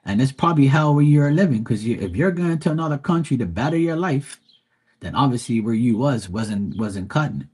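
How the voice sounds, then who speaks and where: Husky, profane Bermudian man ranting. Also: medium pitch, animated, a little fast, darkish tone. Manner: blunt, profane and heated, with repeated fillers and restarts. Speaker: live stream host. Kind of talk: casual ranting monologue.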